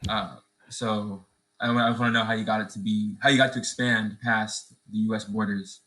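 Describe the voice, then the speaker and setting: A young man in his late teens speaks with a bright, fairly low voice. He asks his questions haltingly, with fillers and restarts, in a relaxed interview setting. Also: rough recording. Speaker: guest, high school student. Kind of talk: casual conversational interview.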